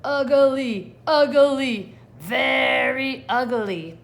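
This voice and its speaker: A woman voicing mocking schoolchildren from a storybook, loudly taunting with drawn-out words. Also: high-pitched, animated, exaggerated voice. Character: jeering, mocking crowd of schoolchildren.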